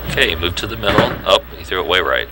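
A man giving relaxed, knowing commentary on a youth bowling match, explaining shots as they happen. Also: deep voice, fast. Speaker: color commentator. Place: bowling alley. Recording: noisy bowling alley ambience.

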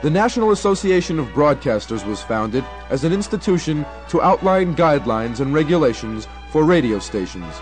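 A man's voice: fairly high pitch, big pitch swings, moderate pace, few pauses, faint music behind. A young American man reading scripted documentary narration. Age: young adult.

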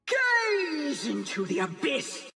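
Imperious sorceress, drenched in reverb. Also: female voice, sits high, huge pitch swings, slow pace, music in the background, heavily acted. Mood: commanding, menacing. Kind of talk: video game battle cries.

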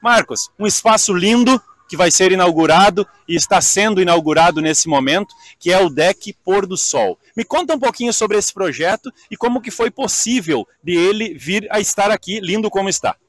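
A man's voice: sits high, lively intonation, moderate pace, few pauses, fairly bright tone, dry recording. A man, interviewing as a live TV reporter at an event. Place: outdoors on a wooden swing in a park.

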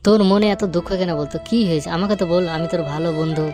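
A woman's voice: on the low side, rapid-fire, over music, lightly acted. A woman voicing an eager, reckless loyal young friend in a comic cartoon, prodding and reassuring. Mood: concerned.

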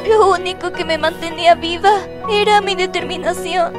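A woman's voice: extremely high pitch, fairly even intonation, moderate pace. A woman voicing a fond, resigned dying child in a Latin American Spanish cartoon fandub. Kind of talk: dramatic animation fandub. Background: music.

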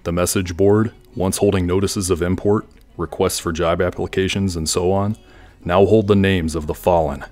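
A man playing a brooding, grandiose villain in a fan audio drama, delivering his words in a dramatic, measured way. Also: deep voice, steady tone, darkish tone, natural voice. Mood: grave, grandiose. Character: brooding, grandiose male villain plotting world domination.

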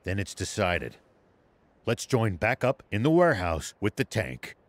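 An actor playing a stern, shrewd old sheriff in a video game, speaking in a measured, musing way. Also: male voice, lowish voice, very animated, no echo. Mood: confident, commanding. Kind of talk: video game cutscene acting.

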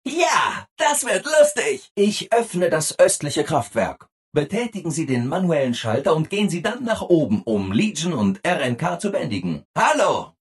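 Over-eager robot assistant, video game character, hugely swooping intonation, very husky rough-edged tone, relentlessly cheerful. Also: male voice, a little high, heavily acted. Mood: upbeat, eager. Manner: chirpy, over-eager, exaggeratedly obliging.

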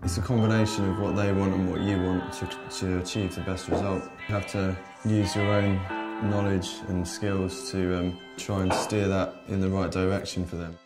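A man with a notably low voice explains his work in a calm, measured way. Loud guitar music runs underneath. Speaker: assistant manager of a barbershop. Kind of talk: interview for a promotional video. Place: indoors in a barbershop.

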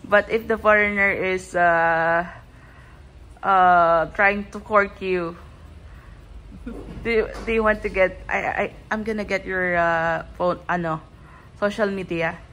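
Slow, giggly Filipino woman vlogging. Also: mid-range voice, fairly even intonation, fairly bright tone, a little hiss or hum, low-quality recording. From Philippines. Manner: giggly, chatty, mixing English and Tagalog. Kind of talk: casual vlog chat. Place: nail salon, indoors.

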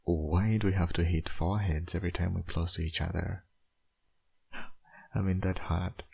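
Guy playing a soft wistful young dad still hung up on his ex, asmr roleplay, really deep n husky, hesitant. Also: heavily acted. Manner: hesitant, halting, intimate murmuring delivery. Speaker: audio roleplay voice actor.

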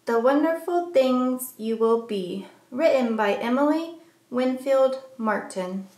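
A woman reading a rhyming children's picture book aloud as a storyteller, with measured, deliberate phrasing for young listeners. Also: high-pitched, animated, darkish tone, roomy sound.

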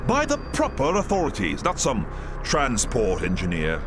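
An actor playing an imperious, dismissive colony boss in an animated sci-fi drama. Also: male voice, medium pitch, normal speed, music in the background. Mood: outraged, scornful. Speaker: colony director.

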